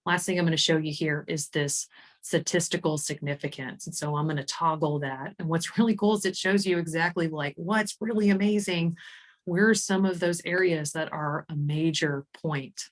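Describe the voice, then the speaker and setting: A woman presenting a software demo in an informal, conversational way, explaining things with enthusiasm. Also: low voice, steady tone, normal speed. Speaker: HR executive demonstrating software.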